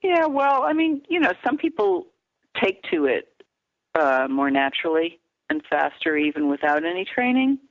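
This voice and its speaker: Female vocal coach calling in, steady explanatory delivery, thin telephone sound. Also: lowish voice, dry recording. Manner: measured, explanatory, teacherly. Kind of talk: phone-in radio interview. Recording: tinny phone line, dry.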